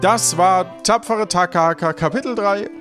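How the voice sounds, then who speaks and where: A man hosting a podcast, speaking casually and unscripted, sometimes halting and repeating words. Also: high-pitched. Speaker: podcast host. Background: background music.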